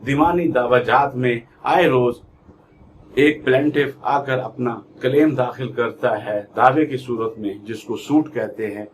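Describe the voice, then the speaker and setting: Slow-paced Pakistani man teaching law. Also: mid-range voice, a little hiss or hum. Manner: didactic, reads definitions out word by word then explains. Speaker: law lecturer. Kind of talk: explanatory law lecture mixing Urdu and English.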